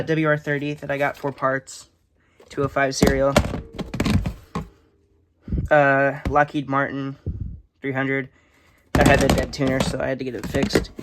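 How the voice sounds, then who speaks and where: A young man giving a casual, unscripted show-and-tell, halting and matter-of-fact as he lists items. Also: a little high. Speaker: hobbyist collector giving a tour. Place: indoors in a room, handling plastic bins. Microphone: handheld phone camera. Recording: noisy handheld recording with clattering.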